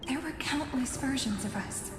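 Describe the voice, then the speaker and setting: Woman playing a high-voiced, sorrowful young heroine. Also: normal speed, close to an everyday voice. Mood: sad reflection. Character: earnest, sorrowful young heroine questioning fate. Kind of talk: dramatic video game voice acting. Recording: reverberant hall effect over music.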